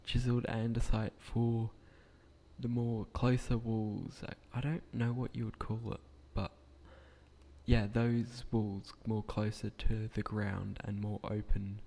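A young man with a croaky voice, speaking quietly at night in a hesitant, rambling way with frequent restarts as he narrates a game build. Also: on the low side, level tone, measured pace. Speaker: gaming channel creator.

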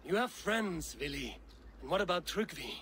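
An actor playing a loyal, teasing Viking warrior and old friend in a video game cutscene. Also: male voice, on the high side, big pitch swings, moderate pace, a bit bright, slight background noise. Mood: earnest, caring.

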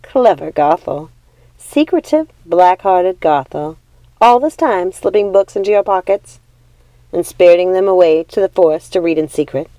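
A woman's voice: on the low side, expressive, normal speed, mellow, no room sound, close to an everyday voice, poor audio. A woman voicing a scornful, wrathful old witch mother in a storybook, mocking and commanding. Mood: scornful amusement.

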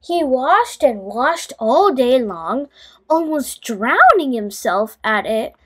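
A woman reading a children's bedtime story aloud in an expressive, deliberate way, as the host addressing young listeners. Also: high voice, breathy edge.

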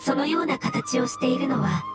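A gentle, wise fungus creature from a video game, speaks in a high voice. The delivery is polite, formal and measured, like patient explanation. Music plays strongly underneath. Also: female voice, animated. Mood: calm, explanatory. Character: calm, polite, wise talking mushroom spirit creature. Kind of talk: scripted video game dialogue. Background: background music.

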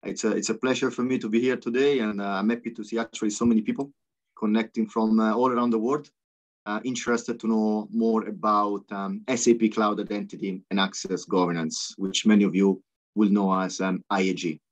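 A man as guest expert presenting software on a webinar, fluent but peppered with ums and uhs. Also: lowish voice, flat delivery, a little fast. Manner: fluent but full of ums and uhs, non-native phrasing. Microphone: video call. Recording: slightly dull video call audio.

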